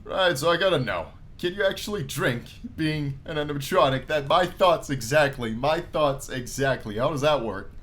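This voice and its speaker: A man's voice: a little high, expressive, normal speed, hardly any pauses, a bit bright. A man giving jokey playthrough commentary as he reads a visual novel aloud, often repeating phrases for emphasis. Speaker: gaming video host.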